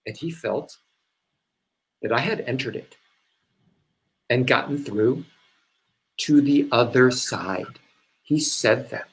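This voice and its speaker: A man playing a remorseful, rambling spiritual seeker in a live stage play reading, halting and conversational in delivery. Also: mid-range voice, rough recording. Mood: wistful, earnest.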